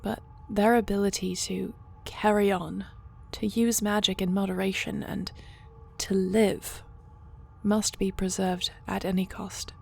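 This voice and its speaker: A woman playing a resolute, noble dragon-shifter queen in a fantasy audio roleplay, speaking earnestly and formally in a breathy, husky voice. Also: mid-range voice, flat delivery. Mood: solemn, resolute. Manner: earnest, measured, formal phrasing.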